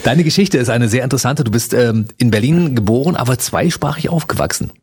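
A man's voice: medium pitch, expressive, fast, a little mellow, slightly rough. A man hosting an interview, asking friendly questions to keep his guest talking. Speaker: host. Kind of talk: casual interview.

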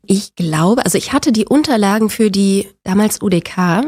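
A woman in her forties from Berlin, a guest talking openly and warmly, often with fillers and laughter. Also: mid-range voice, animated, moderate pace, husky. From Berlin, Germany. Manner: open, friendly, laughs often, many fillers. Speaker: actress, guest. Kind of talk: casual interview chat.

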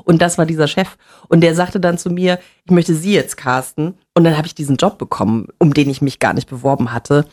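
A woman in her forties, a television presenter telling lively stories in a casual interview, laughing often and using many fillers. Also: deep voice, fairly quick, breathy edge. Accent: none, standard German with slight Berlin touches. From Bavaria. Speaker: TV presenter guest.

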